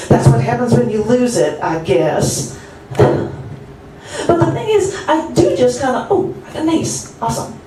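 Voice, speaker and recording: A woman's voice: medium pitch, warm tone, lightly acted. A woman acts out a restless confined woman who talks in a put-on Southern US drawl, rambling through fillers and breaking into giggles. She performs live on a coffee-shop stage, heard from some distance in a very reverberant room with a faint hum of ambience. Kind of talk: live theatrical monologue.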